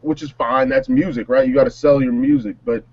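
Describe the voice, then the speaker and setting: A man in a casual panel discussion, talking in a measured, reflective way. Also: medium pitch, steady tone, husky, no room sound, dull audio. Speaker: panelist on a music talk show.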